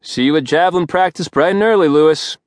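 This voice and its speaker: A man voicing a gruff, unbothered instructor who speaks in curt, low, flat lines. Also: fast talker, dry recording, clean studio sound, natural voice.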